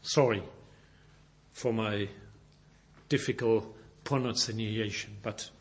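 A man's voice: on the low side, steady tone, slow pace. A Belgian man with a German-speaking accent preaching a sermon in careful, non-native English, often repeating and correcting his words as he reads scripture aloud.

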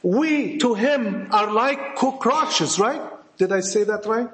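High-voiced Egyptian-accented preacher in an echoey hall. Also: male voice, slow, fairly bright tone. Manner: emphatic, repetitive, rhetorical questions to the congregation. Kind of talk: sermon. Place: church hall with a congregation. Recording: echoey room.

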